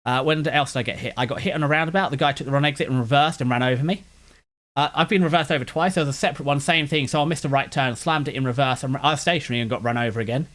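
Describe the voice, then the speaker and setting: A man's voice: medium pitch, rapid-fire, a bit bright. Rambling man with a London accent. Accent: London English. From West London, England. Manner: words blur together, slight lisp; meandering with frequent restarts. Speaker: anime channel host. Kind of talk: casual rambling chat.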